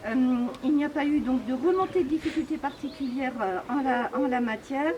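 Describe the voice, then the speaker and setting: A woman with a high voice speaks in an unscripted official briefing. Her phrasing is formal and halting, with frequent hesitation, and there is clear noise on the recording. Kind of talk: spoken press briefing, unscripted. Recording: clearly noisy on-location recording.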